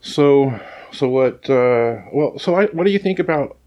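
A man's voice: mid-range voice, animated, unhurried, a little mellow, highs cut off. A man co-hosting a podcast in casual chat, rambling and opinionated, with many restarts, speaking close to the microphone. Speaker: podcast co-host. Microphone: sits close to the microphone.

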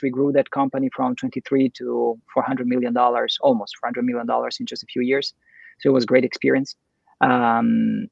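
A man's voice: mid-range voice, gravelly, a little mellow, slightly rough, dry recording, highs cut off. A middle-aged man from Italy with an Italian accent, talking about his career and marriage as a guest in a casual interview, enthusiastic and rambling. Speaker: guest, entrepreneur and producer.

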